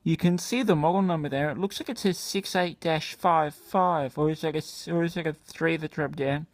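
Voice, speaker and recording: A man's voice: fairly high pitch, moderate pace. A man with an Australian accent giving a casual, unscripted show-and-tell, thinking aloud in a hesitant, detail-focused way. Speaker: vintage radio hobbyist, video maker. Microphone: handheld camera or phone microphone.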